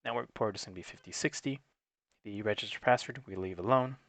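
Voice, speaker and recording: Low-voiced man walking through a technical tutorial. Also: quick pace, fairly bright tone, dry recording. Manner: matter-of-fact, instructional. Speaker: technical support presenter. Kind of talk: unscripted step-by-step tutorial narration.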